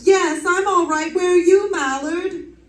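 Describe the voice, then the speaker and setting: A woman playing a wisecracking, brisk lady private detective in an old-time radio drama, cool under fire. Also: very high voice, steady tone. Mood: urgent. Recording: roomy stage recording, distant mic.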